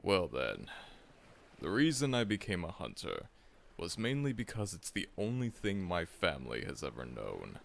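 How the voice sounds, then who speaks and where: A man's voice: low-pitched, wildly swooping pitch, normal speed, vocal fry, heavily acted. A man voicing a seasoned, confident monster hunter who acts as a friendly mentor, reassuring the listener, calling them kid and chuckling often in an ASMR audio roleplay. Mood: encouraging.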